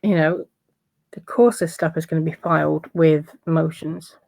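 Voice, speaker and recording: A woman with a croaky voice giving casual commentary on court filings in a loose, conversational way. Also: low-pitched, steady tone, a little slow, warm tone, no echo. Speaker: legal commentary vlogger.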